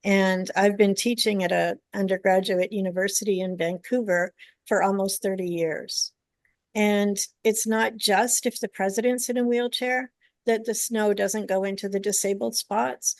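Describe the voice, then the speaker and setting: An older woman from Canada speaks as a panelist, earnest and emphatic. Her voice has a gravelly edge and sits in a middle pitch. The sound is dry and close. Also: steady tone, moderate pace, no echo. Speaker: panelist, genetics teacher. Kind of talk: panel discussion remarks.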